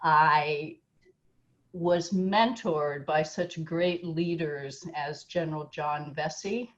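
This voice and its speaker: A woman with a low voice speaks slowly and deliberately, each phrase weighed. The recording is muffled and lo-fi. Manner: slow, earnest, deliberate. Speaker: licensed mental health counselor. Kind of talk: video statement.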